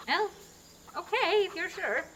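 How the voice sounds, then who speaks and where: A girl playing a lively young quest leader. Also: very high-pitched, a little slow, slight background noise, heavily acted. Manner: earnest, exasperated at times. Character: earnest young woman leading a quest. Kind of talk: student radio drama acting.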